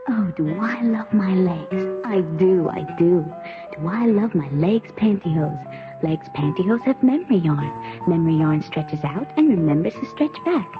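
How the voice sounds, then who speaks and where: A woman's voice: lowish voice, lively intonation, unhurried. A woman reading a 1970s radio advertisement in a gushing, emphatic way. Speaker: commercial spokeswoman. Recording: lo-fi radio, music behind.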